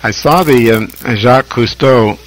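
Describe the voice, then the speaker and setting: An American man chatting casually as a ham radio operator, speaking in a halting, deliberate, reflective way with long pauses over shortwave radio. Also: mid-range voice, low-quality recording. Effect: shortwave radio transmission.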